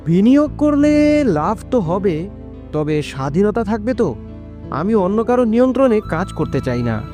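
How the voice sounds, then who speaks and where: A man's voice: high voice, sing-song, moderate pace, dark tone, soft background music. A man playing an earnest, hardworking village farmer in a moral-story cartoon, reassuring and optimistic. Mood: wary.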